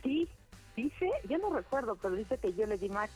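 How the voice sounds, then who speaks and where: A woman's voice: on the high side, very animated, normal speed, husky, faint music behind. Chatty woman in her fifties. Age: 50s. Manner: chatty and tender, with many fillers. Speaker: guest dedicating a song. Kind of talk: casual radio interview.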